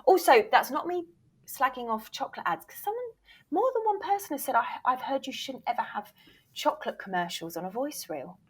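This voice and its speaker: A woman's voice: sits high, big pitch swings, fairly quick, slightly rough. Candid, chatty woman explaining her trade. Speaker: voice reel producer, interview guest.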